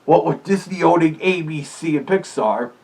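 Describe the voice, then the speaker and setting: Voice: medium pitch, moderate pace, a little mellow. A reviewer giving casual, scripted commentary in the character of a fuzzy cartoon animal, opinionated and prone to rambling asides. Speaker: video reviewer.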